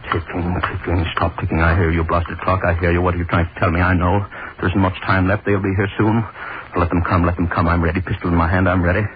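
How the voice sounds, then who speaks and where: A cruel, money-hungry executioner, as a male actor plays him, talking in a very deep, low voice that gloats and broods by turns. The sound is a thin, phone-narrow 1930s radio broadcast with faint hiss beneath it. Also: level tone, quick pace, natural voice. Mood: anxious. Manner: gloating, brooding, lingers on words. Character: obsessive middle-aged male hangman, gloating and cruel. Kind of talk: 1930s radio drama acting, first-person monologue.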